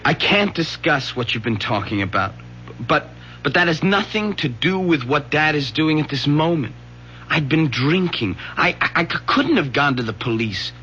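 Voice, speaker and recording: An uneasy, pleading young son in an old radio drama. His bright, tense voice is hesitant and evasive, on a muffled recording with a faint hum. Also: a little high, lively intonation, normal speed. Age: young adult.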